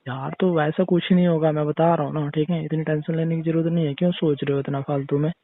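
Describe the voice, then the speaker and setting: A man's voice: a little high, flat delivery, fast talker, no room sound. Young man on a tinny phone call. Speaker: boyfriend. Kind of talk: casual relationship phone chat.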